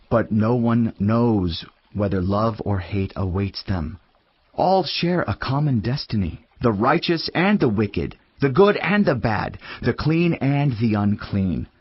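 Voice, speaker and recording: A man playing a weary, reflective teacher of wisdom in a dramatised audio Bible, husky-voiced, with a measured, earnest, declamatory delivery. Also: lowish voice, highs cut off, heavily acted. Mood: solemn, reflective. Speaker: scripture narrator. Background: music.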